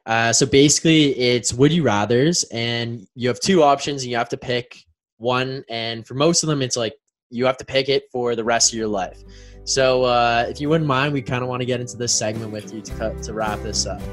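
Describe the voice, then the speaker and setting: A man hosts a casual podcast and asks questions in a loose, hesitant way. His voice sits at a medium pitch, with nothing unusual about it. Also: normal speed, faint music behind. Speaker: podcast host.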